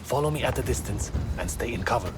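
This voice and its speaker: An actor playing a cautious rebel guide in a video game, giving urgent, hushed instructions. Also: male voice, mid-range voice, animated, fast talker, close to an everyday voice. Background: game music score.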